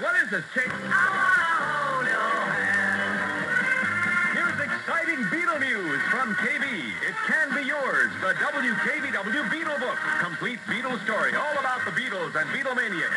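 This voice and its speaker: High-pitched, excitable 1960s radio DJ man over music. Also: low-quality recording. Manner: excitable, comic complaining, hammy. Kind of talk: 1960s Top 40 radio DJ patter. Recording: old AM radio aircheck over loud music. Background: loud music.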